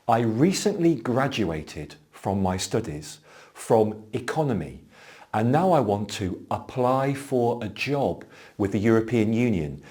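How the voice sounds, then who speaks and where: A man giving clear, explanatory scripted narration as an exam commentator. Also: on the low side, darkish tone.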